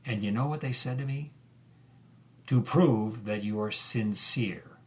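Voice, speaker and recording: A man's voice: mid-range voice, unhurried, close to an everyday voice. An actor playing a bitter, defeated man voicing his farewell letter in an audio drama. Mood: bitter, sardonic.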